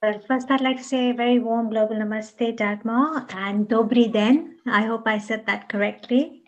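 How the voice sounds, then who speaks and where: A woman's voice: on the high side, normal speed, lo-fi sound. A woman from Kenya speaking as a guest on an online panel, effusive and gracious as she thanks the speaker and asks a question.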